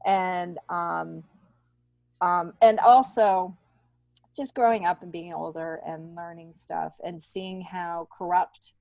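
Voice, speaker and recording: A woman's voice: fairly low pitch, fairly even intonation, slow, vocal fry, darkish tone, dry recording. A middle-aged woman talking candidly and casually as an interview guest, stressing points by repeating herself. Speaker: podcast guest, stand-up comedian. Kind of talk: casual conversational interview, personal anecdotes.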